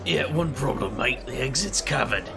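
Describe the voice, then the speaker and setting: A wry, laid-back hero, voiced by a man, who narrates the scene in a casual drawl. His voice is gravelly with some creak. Music plays behind him in this audio drama. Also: mid-range voice, expressive, normal speed. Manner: wry and casual, calls people mate.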